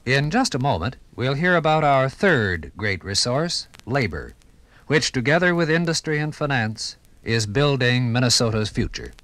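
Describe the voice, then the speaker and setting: An American man reading scripted 1950s radio documentary narration in a measured, formal, dramatically phrased way. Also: mid-range voice, very animated, heavy background noise. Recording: 1950s radio broadcast on vinyl.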